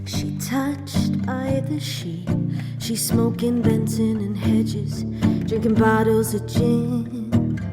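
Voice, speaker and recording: Woman singing over loud acoustic guitar. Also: a little high, little pitch movement, takes it slowly. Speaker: singer-songwriter.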